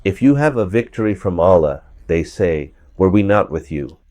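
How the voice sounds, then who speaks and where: A man with a hoarse voice from a cold, giving verse-by-verse scripture commentary and repeating points for emphasis. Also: low-pitched, expressive, normal speed, dark tone, dry recording. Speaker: religious commentator.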